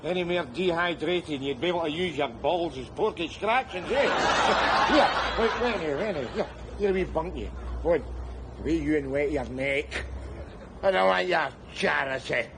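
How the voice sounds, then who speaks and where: An actor playing a sardonic, rambling middle-aged down-and-out man, who breaks into shouts. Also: a little high, normal speed, gravelly, noisy background. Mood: mocking. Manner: wry, rambling, bursts into shouts. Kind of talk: screen drama acting.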